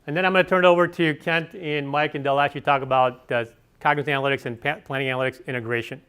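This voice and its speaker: Chicago-accented man presenting to a room, fast and loose, filler-heavy, a touch high-voiced. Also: fairly even intonation, bright, forceful tone, clear, resonant tone. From Chicago area. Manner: fast-talking, filler-heavy, asks audience questions. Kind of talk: conference presentation. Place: conference session room.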